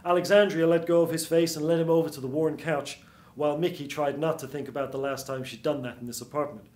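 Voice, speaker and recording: A man in his thirties narrates a noir tale in radio-play style. His voice is fairly high for a man and carries the roomy sound of a small theatre stage. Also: steady tone, fast, darkish tone. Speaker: storyteller. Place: small theatre stage before seated audience.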